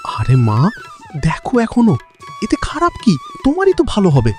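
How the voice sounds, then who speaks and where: Kind young son for cartoon, male actor: Bangladeshi accent, earnest, mellow, very expressive. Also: extremely high pitch, moderate pace, soft background music, heavily acted. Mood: pleading, reasoning. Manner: earnest, questioning. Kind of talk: cartoon voice acting.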